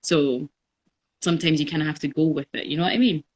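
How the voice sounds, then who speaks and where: A woman in her twenties talking in a relaxed podcast conversation, thoughtful and reflective, with many pauses and fillers. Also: low voice. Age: 20s. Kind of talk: casual conversation.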